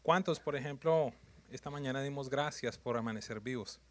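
A man's voice: mid-range voice, brisk. A man preaching a sermon in numbered points, emphatic and repetitive, often putting questions to his congregation.

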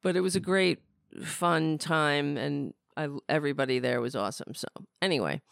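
A woman's voice: sits low, normal speed, creaky, dry recording, clean studio sound. A middle-aged woman hosting a podcast, losing her voice, talking in a rambling, sardonic, blunt way. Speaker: podcast host. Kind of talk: casual political commentary.

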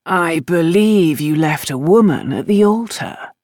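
A woman voicing a dry, teasing, idle aristocratic man in a storybook, unhurried and faintly amused. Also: on the low side, lively intonation, a little mellow, no room sound.

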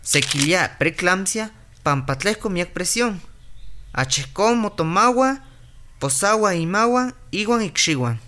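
An actor playing a calm clinic doctor in a radio drama, explaining and reassuring. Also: mid-range voice, measured pace. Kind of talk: radio drama narration and acting. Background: music.

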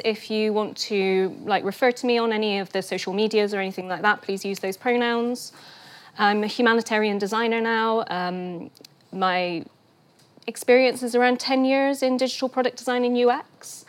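A woman presents a lecture to a room, her voice a bit croaky as she recovers from a cold. She explains things carefully and at an even pitch, pausing on fillers and correcting herself mid-phrase. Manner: measured explaining with fillers and restarts. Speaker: guest lecturer.

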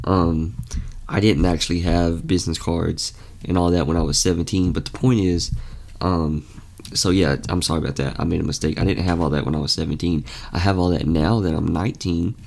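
Young guy, gravelly fry voice, earnest, rambles w lots of ums and restarts. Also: low-pitched, steady tone, fairly quick, darkish tone. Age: young adult. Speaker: podcast host. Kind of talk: casual motivational podcast talk.

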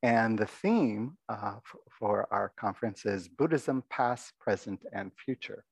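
A middle-aged man, an abbot, giving a soft-voiced, reflective dharma talk in a meditation hall, pausing often with fillers. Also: fairly low pitch, lively intonation, vocal fry, breathy edge. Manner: reflective, halting, many fillers.

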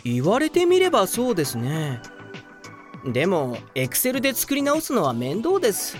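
Woman playing a self-satisfied young male office worker, lively delivery. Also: medium pitch, normal speed, soft background music. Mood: nonchalant. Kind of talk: anime-like comedic radio drama.

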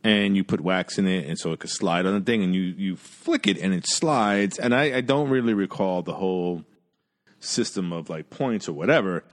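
A man in his forties who grew up in Queens, telling profane, animated stories in a casual chat and laughing often. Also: low voice, moderate pace. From Jamaica, Queens, New York.

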